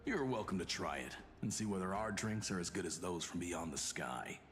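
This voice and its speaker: A man's voice: sits low, lively intonation, normal speed, fairly bright tone, soft background music. A man voicing a formal, proud and combative loyal soldier in a video game. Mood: courteous, proud.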